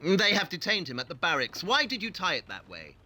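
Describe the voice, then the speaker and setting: An actor playing a haughty, probing detective in an audio play, with precise, pedantic delivery. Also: male voice, sits high, huge pitch swings, brisk, bright, forceful tone, exaggerated voice. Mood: probing, piqued. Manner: precise, clipped, pedantic interrogation.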